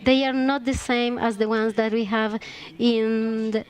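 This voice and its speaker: Woman, Chilean accent, conference panelist, unhurried delivery, higher pitch. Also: fairly even intonation. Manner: measured, halting, with frequent pauses and restarts. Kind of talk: panel discussion talk.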